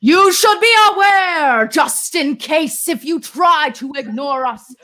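A woman playing a menacing ransomware extortionist, giving a dramatic, drawn-out and threatening reading. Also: sits high, expressive, sharp tone, pitched above the performer's own voice, heavily acted.

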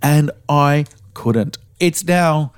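An Australian man co-hosting a podcast, slightly hoarse as he recovers his voice, telling stories in a frank, casual way with the odd swear word. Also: fairly high pitch, extremely slow, no echo, clean studio sound. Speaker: podcast co-host.

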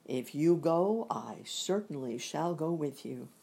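A woman voicing a kindly man made of tin in a storybook reading, gentle and loyal in manner. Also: sits low, expressive, takes it slowly, gravelly, full character voice.

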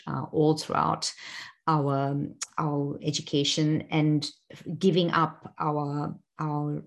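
Low-voiced South African woman reflecting. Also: creaky. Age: 40s. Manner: thoughtful, many fillers and restarts. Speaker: interview guest. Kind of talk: reflective personal interview.

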